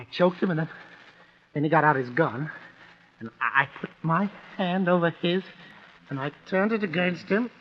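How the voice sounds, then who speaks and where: An actor playing a bitter, tormented killer, speaking in halting, repeated, anguished phrases in an old-time radio drama. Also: male voice, on the high side. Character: bitter, tormented man confessing, a brooding killer. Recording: muffled, hissy old radio recording.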